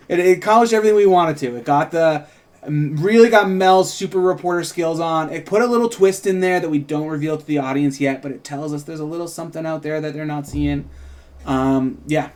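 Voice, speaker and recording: A man with a fairly high voice reads screenplay directions aloud. He is a writer and co-host, and he moves easily between narrating and casual commentary on the script. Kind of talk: table read with casual commentary.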